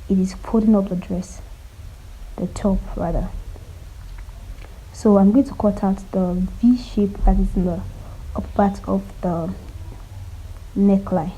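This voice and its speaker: Soft-spoken woman with a cold, teaching sewing. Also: slightly rough, low-quality recording. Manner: quiet, low-volume delivery; chatty, checks that viewers follow along. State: has a cold. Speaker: sewing tutor. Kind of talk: casual step-by-step sewing tutorial.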